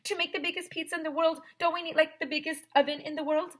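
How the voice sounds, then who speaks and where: A woman's voice: high-pitched, flat delivery, quick pace, fairly bright tone, voice pushed up. A woman voicing a fussy, worried, earnest little schoolgirl in a children's storybook.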